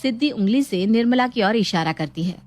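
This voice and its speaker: A woman's voice: a little high, lively intonation, a little fast, darkish tone. A woman narrating a scripted moral story as a storyteller. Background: music.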